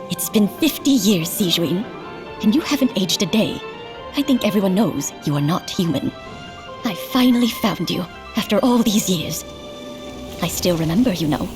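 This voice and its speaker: A woman's voice: mid-range voice, animated, normal speed, pauses often, lightly acted. Woman playing a tender old lady, music behind. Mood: knowing, tender. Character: elderly human lady, grateful, tender, wise. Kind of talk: video game cutscene acting.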